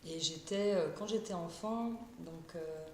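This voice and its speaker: A woman from Paris talks as a guest on a live stream, searching for her words with lots of fillers and restarts. Her voice sits a bit low. The sound carries a roomy echo over a faint background hiss. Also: a little slow. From Paris, France. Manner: hesitant, many fillers and restarts, shy. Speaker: art therapist, guest. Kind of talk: live-stream interview, casual.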